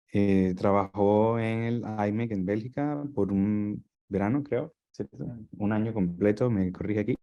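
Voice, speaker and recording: A man's voice: fairly low pitch, mellow, muffled recording. A man speaking as a course instructor, informally introducing a guest to an online class.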